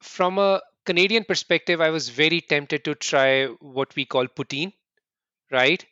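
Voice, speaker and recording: Indian-born guy on a podcast, kinda high voice, dry dull sound, keeps restarting, says right a lot. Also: steady tone, bright, forceful tone, clean tone, no echo. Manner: earnest, quick bursts with repeated restarts and "right?" tags. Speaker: podcast guest. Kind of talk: conversational interview answers.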